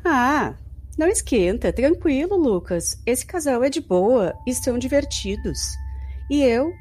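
An actress with a Brazilian accent playing a chatty, affectionate young girlfriend in an informal, expressive audio drama. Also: sits high, a little slow, husky, heavily acted. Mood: playful, affectionate. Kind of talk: audio drama acting, diary-like monologue. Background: music.

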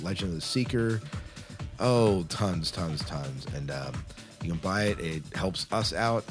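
A very low-pitched man hosts a casual podcast. He talks informally and wordily, explaining as he goes. Music plays clearly behind his voice. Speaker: podcast host. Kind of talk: casual chatty podcast hosting. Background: loud music bed.

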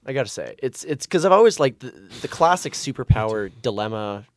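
A man's voice: mid-range voice, sing-song, brisk, no room sound. A young man with a Canadian accent chatting casually as a podcast guest, hesitant as he thinks aloud. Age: young adult. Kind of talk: casual chat.

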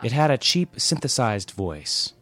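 A man's voice: mid-range voice, very animated, a little slow, crowd noise. A man performing a hammy sitcom-parody storyteller and cheery family of the future, in a theatrical, exaggerated way. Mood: comic, theatrical.

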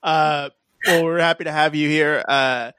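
A man's voice: fairly high pitch, steady tone, a little slow, bright, forceful tone, smooth, dry recording. A man co-hosting a podcast in a casual, informal way, thinking aloud as he talks through films. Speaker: podcast co-host. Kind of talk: casual film discussion.

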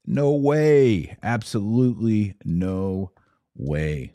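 An American man with a deep, dark-toned voice talks like a podcast host on a live show. He is conversational and opinionated, reading out comments and answering with emphatic repeats. The sound is close and dry, with very little room. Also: big pitch swings, drawn-out words, no room sound.

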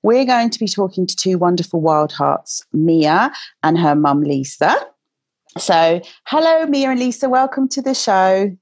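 A woman in her forties hosting a podcast, chatty and encouraging, speaking gently to a child guest. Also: medium pitch, big pitch swings, a little slow, no room sound. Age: 40s. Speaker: podcast host. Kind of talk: warm casual interview chat.

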